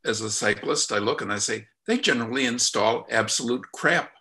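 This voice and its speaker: Older guy in his 70s on a video call, bright forceful voice, talks in careful halting bits. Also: mid-range voice. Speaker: committee member from a cycling coalition. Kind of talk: giving comments in an online meeting. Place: indoor room at home, on a video call.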